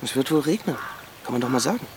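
A man's voice: medium pitch, expressive, quick pace, noisy background, natural voice. An actor playing an evasive, cutting, status-conscious man in a naturalistic radio drama, dismissive and condescending. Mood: detached.

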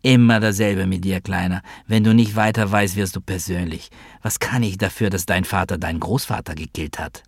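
A man's voice: low-pitched, steady tone, normal speed, creaky, hardly any pauses, husky, no echo, clean studio sound, exaggerated voice. A man voicing a stern, mocking adult sister who is defiant and needling, in a literary audiobook story. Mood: scornful.

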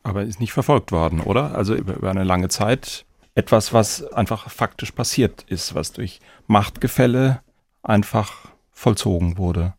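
Gravelly male podcast host, dry sound. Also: lowish voice, normal speed, darkish tone, dry recording. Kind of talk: conversational discussion, questioning.

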